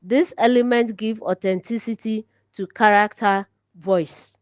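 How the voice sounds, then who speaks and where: A woman with a Ghanaian accent giving an instructional lesson with deliberate, explanatory phrasing. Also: medium pitch, steady tone, darkish tone, no echo. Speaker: teacher presenting an online lesson. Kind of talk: instructional lecture. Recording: slightly dull, lo-fi audio.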